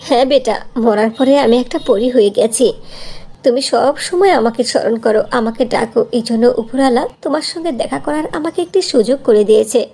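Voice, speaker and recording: A woman's voice: a little high, brisk, mellow, husky. A woman voicing a loving, reassuring fairy mother in a cartoon fairy tale, speaking tenderly and patiently. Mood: gentle, consoling. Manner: tender, soothing, explaining patiently.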